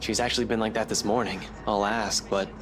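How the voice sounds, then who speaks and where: A man's voice: on the low side, little pitch movement, a little fast, over music, close to an everyday voice. A man voicing a brusque, teasing but loyal young man in a visual novel game, with terse, dry delivery. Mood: defensive, concerned. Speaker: video game character.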